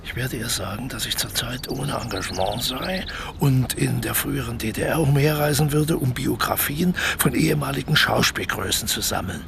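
An actor playing a smooth-talking, scheming crook in a radio play, persuasive and cocky as he explains his plans. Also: medium pitch, moderate pace, noisy background, natural voice. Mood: sly, confident. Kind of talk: naturalistic German radio play dialogue.

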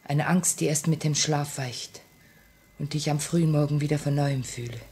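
An actress in a 1950s German radio drama playing an anxious but composed female revolutionary, speaking in an earnest, measured, solemn way. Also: low voice, steady tone, mellow, breathy edge. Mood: quietly fearful. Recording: old 1950 radio play recording.

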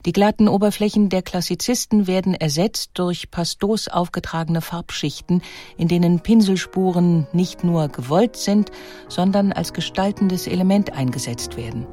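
A woman's voice: fairly low pitch, normal speed, mellow, soft background music. A woman reading scripted documentary narration in an articulate, composed manner.

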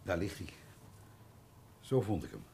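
Low-voiced, nervous hotel employee. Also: male voice, big pitch swings, a little slow, gravelly, warm tone, exaggerated voice. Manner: stammering, anxious, deferential. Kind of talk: radio play acting.

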